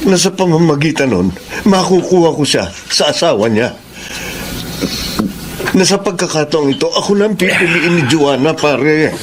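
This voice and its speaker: Filipino radio drama: obsessive, lovesick suitor played by a man, intense and pleading, animated pitch. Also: normal speed, noisy background, natural voice. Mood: hurt, brooding. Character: lovesick, obsessive man pursuing a married woman.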